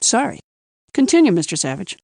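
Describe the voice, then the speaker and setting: A woman's voice: mid-range voice, big pitch swings, a little fast, gravelly, a little mellow, dry recording, clean studio sound. A woman voicing an easygoing cartoon judge with a casual, hesitant way of interjecting. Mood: puzzled. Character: offhand, easygoing courtroom judge. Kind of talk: animated courtroom cartoon voice acting.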